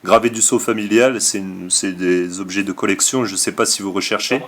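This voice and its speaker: A man's voice: low voice, fairly even intonation, normal speed, fairly bright tone. A man playing a broke, shady middle-aged father who sells off his son's games in a hesitant, wordy, confiding way on a prank call. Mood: confiding, rambling. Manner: hesitant, wordy, falsely candid.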